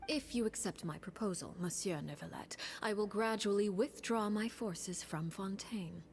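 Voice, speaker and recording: A woman playing a composed, courteous leader of a covert house in a video game, formal and measured, with a breathy voice that often trails into a whisper. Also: medium pitch, darkish tone, a little hiss or hum, close to an everyday voice. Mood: coolly veiled. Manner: formal, measured, politely veiled. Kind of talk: scripted video game dialogue.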